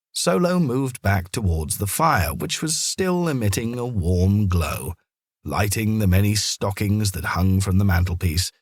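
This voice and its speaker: Slow, animated male storybook narrator, low voice. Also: breathy edge, dry recording, clean studio sound. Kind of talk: scripted children's story narration.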